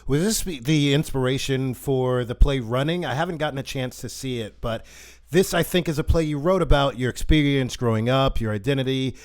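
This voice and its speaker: A male host runs a relaxed interview over a video call. He asks questions in a friendly, talkative way, with a mid-range voice. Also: mid-range voice, normal speed.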